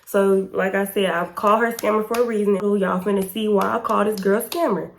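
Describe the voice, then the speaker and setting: A woman in her twenties with an American accent, chatting casually and emphatically in a storytime vlog, her voice slightly hoarse and stuffy-nosed. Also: medium pitch, fairly even intonation, brisk. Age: 20s. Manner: informal, slangy, chatty and emphatic. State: congested and slightly hoarse from pollen. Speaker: YouTube storytime vlogger. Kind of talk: casual storytime chat to camera.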